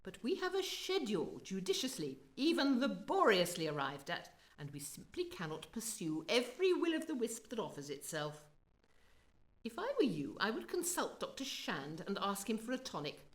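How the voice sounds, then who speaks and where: Senior female starship officer woman acting, refined and judicious, formal wording, animated pitch, radio drama. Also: close to an everyday voice. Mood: firm, concerned. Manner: formal, wordy, measured phrasing.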